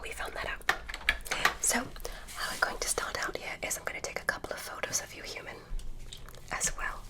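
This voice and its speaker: A woman's voice: a little high, natural voice, low-quality recording. A woman voicing a curious, polite female alien examiner, whispering through an ASMR roleplay.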